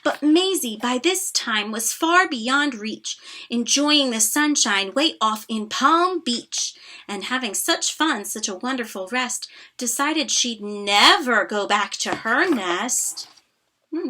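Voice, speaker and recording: A woman reading a storybook aloud to children, expressive, with dramatic emphasis and softer asides. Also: on the high side, slow, a little hiss or hum. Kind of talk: animated storybook narration.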